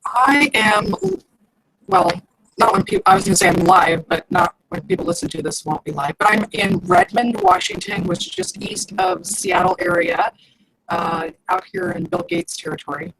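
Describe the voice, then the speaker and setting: A chatty, enthusiastic woman guest speaks with a lively pitch and a gravelly edge. Her audio comes through garbled and robotic-sounding, with clear noise over it. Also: moderate pace. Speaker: nutritionist guest.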